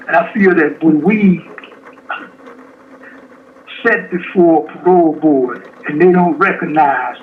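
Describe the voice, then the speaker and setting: Older man, 70s, slow and halting; tinny phone-line recording. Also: on the high side, a little hiss or hum. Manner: slow, halting, with many restarts. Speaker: incarcerated interviewee. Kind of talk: phone interview from prison.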